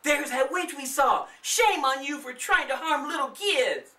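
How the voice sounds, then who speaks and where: A woman's voice: high-pitched, animated, unhurried, pushed and bright, voice pushed up, exaggerated voice. A woman voicing a bossy but easily scared young girl in a children's story, recorded roomily at a distance. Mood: scolding. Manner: insistent, scolding. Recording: roomy, distant microphone.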